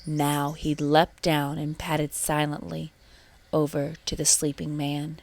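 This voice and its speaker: A woman reading a children's storybook aloud in an expressive narrating style. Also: deep voice, slow, gravelly. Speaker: storybook reader.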